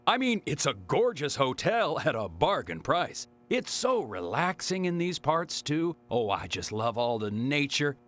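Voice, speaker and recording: A man's voice: a little high, very animated, measured pace, hardly any pauses, fairly bright tone. An actor playing a jovial, talkative travelling salesman in a video game cutscene, with a folksy, chatty manner. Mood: cheerful, relaxed. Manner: chatty, folksy, chuckling, exclamatory.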